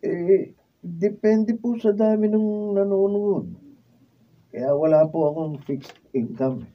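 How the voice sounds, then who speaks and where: An actor with a Filipino accent playing a humble, eager street magician who is showy when performing, in a radio drama. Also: male voice, high-pitched, slow, highs cut off. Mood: humble, deferential. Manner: showy when performing, deferential to his boss. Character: humble, eager male street magician, a struggling family man.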